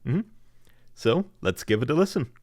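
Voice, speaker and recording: A man's voice: medium pitch, expressive, moderate pace, darkish tone, dry recording. Upbeat male podcast host, dry sound. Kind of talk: casual podcast chat.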